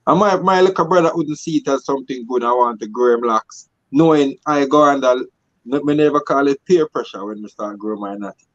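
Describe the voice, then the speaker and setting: A man's voice: medium pitch, brisk, dry recording, highs cut off. A man with a Jamaican accent reasoning and telling stories casually, emphatic and repeating phrases to drive them home.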